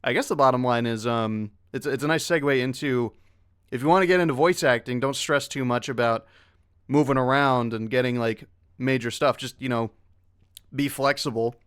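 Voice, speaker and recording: A man's voice: mid-range voice, normal speed, a bit bright, clear, resonant tone, no room sound, studio quality. A man who works as a voice actor chatting casually on a panel, talkative, encouraging and given to joking asides. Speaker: voice actor on an online convention panel.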